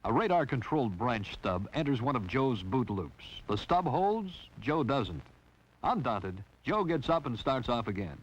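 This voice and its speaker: A man's voice: medium pitch, very animated, a little slow, breathy edge. A man narrating a 1960s training film in a wry, teasing scripted style. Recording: dull lo-fi 1960s film soundtrack.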